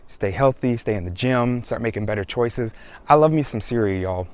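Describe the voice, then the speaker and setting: A man's voice: medium pitch, moderate pace. A man, chatting casually to his viewers in a vlog. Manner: chatty, relaxed, addresses viewers as y'all. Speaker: vlogger. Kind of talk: casual vlog talk to camera.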